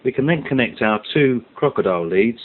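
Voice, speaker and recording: Man giving lively, swooping step-by-step instructions. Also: medium pitch, a little fast. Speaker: instructor presenting a science kit tutorial. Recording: muffled lo-fi audio with background hiss.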